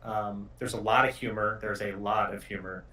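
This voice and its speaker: A man's voice: fairly low pitch, fairly even intonation, moderate pace, sharp tone. A male game developer talking casually in an interview. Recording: distant mic.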